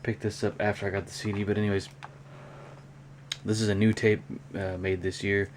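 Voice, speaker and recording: A man talking casually and unscripted in a hobby show-and-tell vlog, with a rambling, halting delivery. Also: on the low side, little pitch movement. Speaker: hobby vlogger, cassette collector. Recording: clear background noise.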